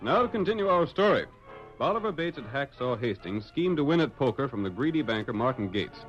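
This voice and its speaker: A man narrates in classic 1940s radio fashion with a mid-range, very animated voice. The vintage recording is muffled, with music behind him. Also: mid-range voice, fairly quick.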